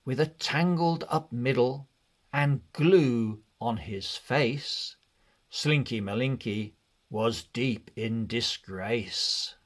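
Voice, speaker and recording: A man narrating a children's read-along storybook in an expressive, deliberate way. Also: medium pitch. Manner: expressive, deliberate, measured delivery.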